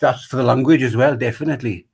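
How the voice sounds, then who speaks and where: Give me a Welsh man at a mid pitch, rambling through a podcast chat as a club chairman. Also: steady tone, fast talker, vocal fry, a little mellow, breathy edge. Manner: rambling, repeats himself, many fillers. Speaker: rugby club chairman, guest. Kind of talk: casual podcast interview.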